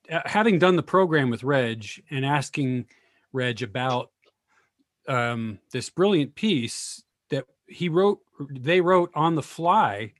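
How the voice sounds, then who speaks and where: A man's voice: on the high side, no room sound, studio quality. A man in casual discussion on a video call, thoughtful and searching for words as he talks. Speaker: discussion participant.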